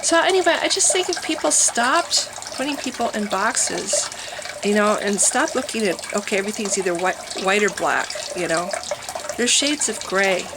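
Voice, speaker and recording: A woman's voice: medium pitch, expressive, moderate pace, noisy background. A middle-aged woman from Wisconsin with a nasal voice, rambling candidly in a personal vlog monologue with many restarts. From Wisconsin, USA. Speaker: vlogger. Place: her home with water fountains running.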